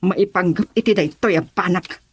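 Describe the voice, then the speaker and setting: A woman's voice: fairly low pitch, moderate pace, husky, no echo. A woman playing a stern, suspicious, domineering middle-aged mother in an Ilocano radio drama, with an insistent, scolding manner. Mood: firm, brooding.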